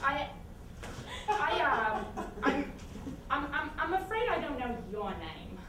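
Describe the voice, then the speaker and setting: A woman playing a wary, awkward therapy patient in a comedic stage play, halting and repeating her words. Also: sits high, very animated, exaggerated voice. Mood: sheepish. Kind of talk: comedic live stage acting. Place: small college theater stage, distant camera. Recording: distant, very echoey, noisy stage recording.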